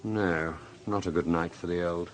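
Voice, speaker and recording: A man's voice: sits low, steady tone, moderate pace, a little hiss or hum, natural voice. A man acting a composed, inquisitive British police inspector in a radio drama, polite and understated. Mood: probing, sober.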